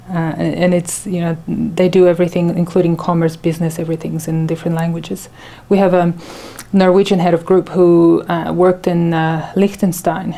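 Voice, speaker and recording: A woman's voice: lowish voice, warm tone. A middle-aged woman whose first language is Ukrainian, a radio station manager giving thoughtful interview answers with frequent fillers and restarts.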